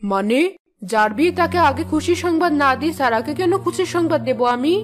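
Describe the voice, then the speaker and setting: A woman's voice: high-pitched, expressive, fairly quick, soft background music. A woman voicing a domineering, partial middle-aged stepmother in a cartoon family drama, with a bossy, scolding manner. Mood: indignant.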